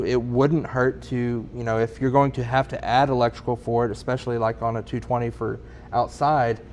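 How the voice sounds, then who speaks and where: A man co-hosting a casual chat in a showroom, giving relaxed sales advice and filling his sentences with lots of "um" and "you know.". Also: medium pitch, a little hiss or hum. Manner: relaxed, many ums and you-knows. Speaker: sauna store staff co-host.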